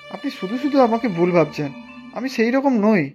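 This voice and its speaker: A man's voice: sits high, very animated, brisk, a little mellow, faint music behind. An actor playing a polite, humble young delivery boy in a cartoon, respectful and earnest. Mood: pleading. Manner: pleading, respectful. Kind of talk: animated cartoon dialogue.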